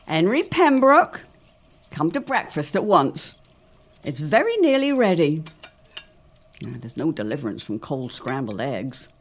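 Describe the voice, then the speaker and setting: A woman's voice: low-pitched, very animated, a little slow, long pauses, full character voice. A woman playing an anxious middle-aged wife of the 1910s in an audio drama, speaking in a formal, old-fashioned, earnest way. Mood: brisk, fond. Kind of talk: period audio drama acting.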